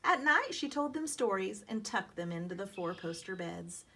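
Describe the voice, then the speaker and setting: A woman's voice: medium pitch, normal speed. A woman reads a children's story aloud expressively, speaking to her young listeners like a teacher. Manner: expressive, explanatory, questioning the listeners. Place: outdoors. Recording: background noise from reading outdoors. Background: outdoor ambient noise.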